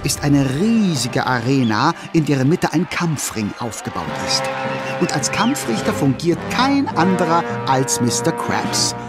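A man narrating a children's cartoon radio play as a lively storyteller. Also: fairly high pitch, a little slow, loud music behind the voice. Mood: scene-setting. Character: animated male storyteller of a cartoon tale. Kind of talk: lively scripted children's audio-drama narration.

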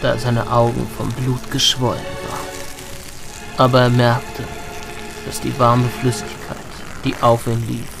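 Slow-paced male audio drama narrator. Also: medium pitch, monotone, creaky, poor audio. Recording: loud music under the voice.

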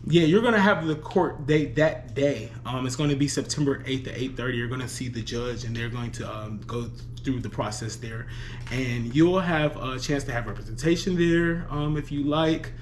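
A man making a prank phone call as an official, speaking politely and formally with hesitant pauses. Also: medium pitch, slight background noise. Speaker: prank caller.

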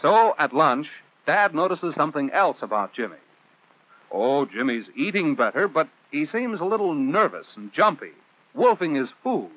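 A man's voice: fairly high pitch, very animated, normal speed, no echo. An American man narrating a 1950s instructional film, speaking in simple, conversational phrases as if directly to a child. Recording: muffled telephone-like old film soundtrack.

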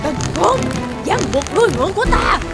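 A woman's voice: very high-pitched, big pitch swings, fairly quick, gravelly, exaggerated voice. A woman voicing a spiteful, menacing old witch in a cartoon fairy tale, gloating and threatening. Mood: furious. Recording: loud music under the voice.

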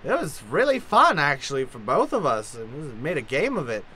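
A chipper, morbid Cockney maid, chattering and cackling in old-time radio play style. Her pitch leaps about theatrically, with some noise behind her. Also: wildly swooping pitch, a little fast, fairly bright tone, exaggerated voice. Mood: wistful, morbid. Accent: Cockney English.

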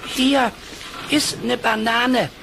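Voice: medium pitch, fairly even intonation, very slow, vocal fry, noisy background. A performer playing a timid, dopey, chubby man in a German children's cartoon audio play, whiny and hesitant with stammered starts.

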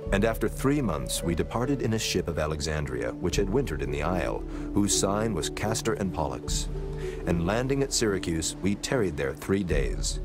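A man narrating dramatized scripture as a solemn, measured storyteller. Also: low voice. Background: music.

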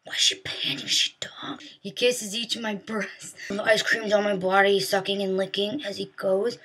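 A woman's voice: mid-range voice, flat delivery, normal speed, sharp tone, husky. A woman reading erotic prose aloud, mocking it with baffled, incredulous asides.

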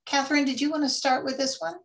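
A woman's voice: high-pitched, fairly even intonation, fast talker. A woman moderating a panel, encouraging the guests and summing up their points before asking the next question. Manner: encouraging, sums up the speakers' points.

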